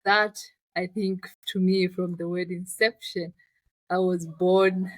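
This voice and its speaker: A woman's voice: fairly low pitch, level tone, slow, dry recording, poor audio. A woman with a Zambian accent telling her personal story as an interview guest, in a reflective, conversational way. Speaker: interview guest, finance business founder.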